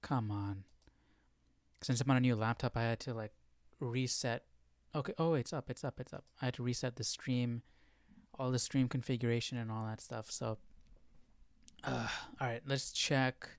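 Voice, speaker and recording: A man speaks casually as the host of a live study stream. His mid-pitched voice is clean and resonant, with very little room sound. He talks in a halting, informal way, thinking aloud as he goes. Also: fairly even intonation, dry recording, clean studio sound. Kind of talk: casual livestream chat.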